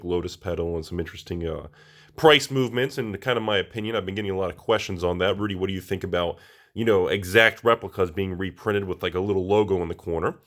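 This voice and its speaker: An American man with a slightly raspy voice, a little under the weather, talking casually and ramblingly to viewers as he reviews products. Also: on the low side, a bit bright. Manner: informal, rambling, addresses viewers directly. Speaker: collectibles channel host.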